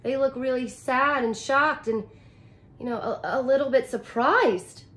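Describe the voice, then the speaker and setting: A woman's voice: high-pitched, measured pace, fairly bright tone. A woman in her thirties, a teacher reading a picture book aloud and explaining it expressively in simple phrases for young children. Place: small furnished room indoors.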